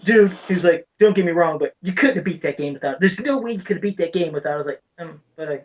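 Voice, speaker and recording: A man's voice: a little high, quick pace, muffled recording. A man chatting casually about games as a podcast co-host, telling rambling stories with plenty of swearing. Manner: profane, rambling storyteller. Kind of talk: casual gaming chat.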